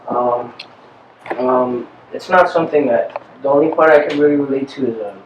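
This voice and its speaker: A man who acts in the film, speaking haltingly with fillers. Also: medium pitch. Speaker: actor in the film. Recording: roomy, distant, noisy.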